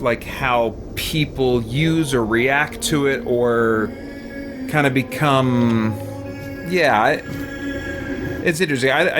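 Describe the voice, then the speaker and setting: A man chatting casually as a game streamer, thoughtful and rambling, often hesitant as he comments. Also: a little high. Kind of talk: casual livestream chat and gameplay commentary. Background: game music.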